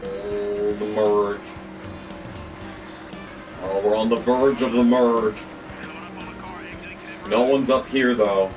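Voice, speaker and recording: A young man, hoarse from losing his voice, commentating his racing game run with frequent swearing and excited outbursts. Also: on the low side, very slow, long pauses, far from the mic, over music. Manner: excitable, swears often, jumps into yelps when the race turns. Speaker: gaming channel host. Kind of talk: gameplay commentary.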